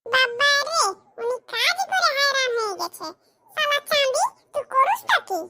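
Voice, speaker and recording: A performer voicing a fed-up, sarcastic wife scolding her husband in a comedy cartoon, with a rural West Bengal accent and a pitch-shifted voice. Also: lively intonation, normal speed, exaggerated voice. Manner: sharp, sarcastic, colloquial scolding. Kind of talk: comedy cartoon skit dialogue.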